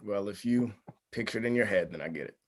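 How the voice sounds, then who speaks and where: A man playing an agreeable, quietly unsure groom in a screenplay table read, halting and sincere. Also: fairly low pitch, close to an everyday voice. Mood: hesitant.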